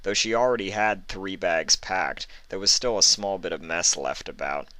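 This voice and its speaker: A man narrates a story in a low-pitched voice with a bright, forceful edge. The delivery is scripted reading, and the sound is close and dry. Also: moderate pace, dry recording.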